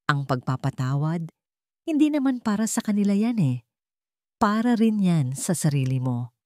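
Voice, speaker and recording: A woman voicing a gentle, wise young mother in an audio drama, earnestly consoling an older father figure. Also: fairly low pitch, sing-song, normal speed, no echo, studio quality. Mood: gentle, reassuring. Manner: earnest, reassuring.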